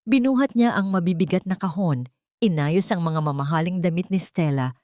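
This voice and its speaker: Low-pitched woman narrating a dramatic story. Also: a little mellow, no echo, clean studio sound.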